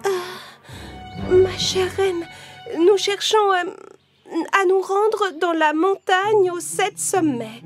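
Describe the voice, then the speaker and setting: Very high girlish princess voiced by a woman, sweet, polite, children's cartoon fairy tale, music underneath. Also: extremely slow, heavily acted. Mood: polite, hesitant. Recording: music behind the voice.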